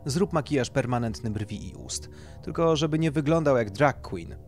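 Man acting male surgeon and clinic boss, businesslike, clinical, self-satisfied, lively swinging pitch, audiobook story. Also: normal speed, soft background music, close to an everyday voice. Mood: brisk, instructing.

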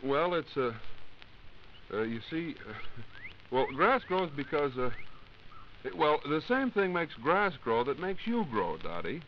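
Flustered, animated radio dad. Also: male voice, on the high side, measured pace, pauses often. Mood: weary, flustered. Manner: flustered, hesitant, huffing. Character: worn-out, bluffing suburban father humoring his daughter. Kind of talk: 1940s radio sketch acting. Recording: muffled, hissy 1940s radio recording.

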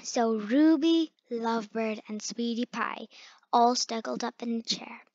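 A woman reads a children's storybook aloud in a fairly high voice. She goes slowly and haltingly, and the recording sounds somewhat muffled. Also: steady tone, gravelly, darkish tone. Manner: halting, stumbles over hard words. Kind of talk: reading a children's picture book aloud. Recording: muffled, echoey room recording.

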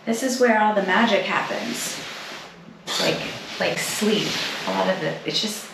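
A woman's voice: mid-range voice, measured pace, vocal fry. A woman, talking casually to camera as a travel vlogger indoors. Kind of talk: casual vlog talk to camera. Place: indoors in a small apartment. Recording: echoey rooms, some distant with noise.

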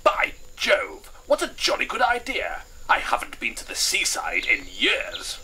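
A man's voice: sits high, wildly swooping pitch, measured pace, noisy background, pitched above the performer's own voice, exaggerated voice. A man voicing a jolly old English colonel from a storybook, plummy and hearty with an upper-class accent. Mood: jolly, excited.

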